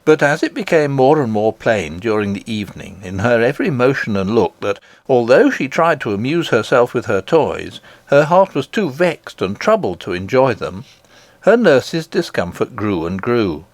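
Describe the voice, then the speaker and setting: A man reading a children's storybook aloud as expressive scripted narration. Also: mid-range voice, normal speed, dry recording. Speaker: audiobook reader.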